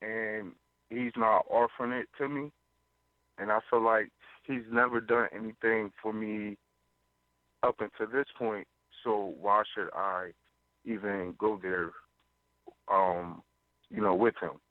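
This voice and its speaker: A man's voice: on the low side, fairly even intonation, no room sound. Hesitant young male caller on tinny phone. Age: 20s. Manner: hesitant, halting. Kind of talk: phone-in conversation seeking advice. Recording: tinny narrow phone line.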